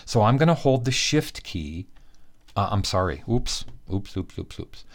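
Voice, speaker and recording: A man giving a relaxed screen-recorded software tutorial, chatty and correcting his own slips as he goes. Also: fairly low pitch, measured pace. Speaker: software instructor.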